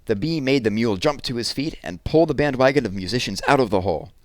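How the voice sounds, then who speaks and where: A man's voice: mid-range voice, animated, fast, no room sound. A man narrating a children's picture book as a read-aloud. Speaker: storybook reader.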